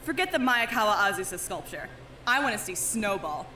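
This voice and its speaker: A woman's voice: mid-range voice, big pitch swings, a little fast, pushed and bright, echoey room, slight background noise, rough recording. A woman voicing a brash, bossy young woman who swears constantly, in a fan audio drama.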